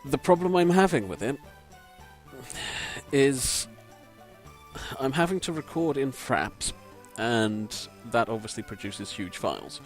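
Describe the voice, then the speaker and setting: A man with a croaky voice, giving rambling, self-deprecating gameplay commentary from a home mic and swearing casually. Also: mid-range voice, lively intonation, slow, faint music behind. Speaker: let's play commentator. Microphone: home desk microphone.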